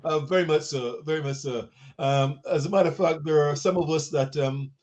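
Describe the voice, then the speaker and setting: A middle-aged man from Barbados, answering conversationally on a video call in a halting, repetitive way. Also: on the high side, darkish tone. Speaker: diaspora guest living in England. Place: small office, on a video call. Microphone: video call, device in front.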